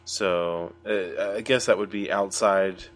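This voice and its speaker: A man's voice: low-pitched, fairly even intonation, slow pace, a bit bright. An American man hosting a casual podcast chat, with playful introductions and loose, thinking-aloud questions. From United States. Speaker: podcast host.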